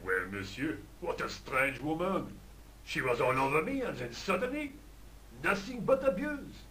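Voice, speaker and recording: Snooty French smoker, bright voice that swoops around, game character. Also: male voice, on the high side, very animated, moderate pace, slight background noise, low-quality recording. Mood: indignant. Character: smoking Frenchman, vain, easily flattered. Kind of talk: game voice acting.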